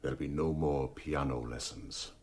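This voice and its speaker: Stern no-nonsense doctor in a radio play, low rough voice, fatherly, brisk. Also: steady tone, darkish tone. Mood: firm, grave. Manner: brisk, firm, advising. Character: brisk, stern, paternal male village doctor. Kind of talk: radio drama acting.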